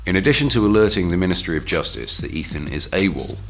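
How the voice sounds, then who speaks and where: A man narrating a training film from a script in a formal, measured, authoritative way. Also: sits low, lively intonation, fairly bright tone, soft background music.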